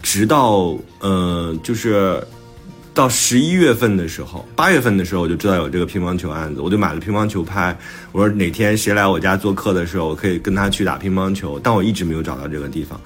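Very low-voiced man in his forties. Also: quick pace, soft background music. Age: 40s. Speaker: author and podcast speaker. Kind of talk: casual storytelling chat.

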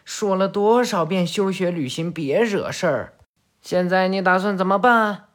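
A man's voice: sits high, unhurried, pitched above the performer's own voice. A man voicing a young female ninja who scolds her teammate sharply. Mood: scolding.

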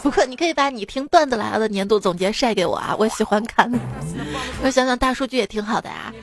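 A young woman hosts a radio joke segment in a playful, teasing voice, laughing at her own punchlines. Her voice sits a little high. A music bed runs clearly under her studio talk. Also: animated, fast talker. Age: young adult. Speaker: radio joke show host. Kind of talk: reading jokes with laughs, casual radio talk. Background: background music.

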